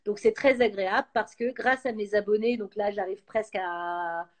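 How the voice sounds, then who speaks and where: A woman's voice: medium pitch, moderate pace. A woman, chatting casually and enthusiastically as a guest on a live stream. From France. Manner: lively, enthusiastic, many fillers. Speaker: actress and YouTuber, guest.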